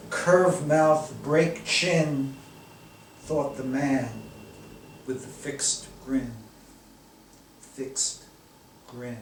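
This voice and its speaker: Slow-spoken man in an echoey room. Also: medium pitch. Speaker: host and poet at a poetry reading. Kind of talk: live poetry reading and spoken introductions. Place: indoor poetry reading, recorded from a distance. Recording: echoey room, distant mic, faint noise.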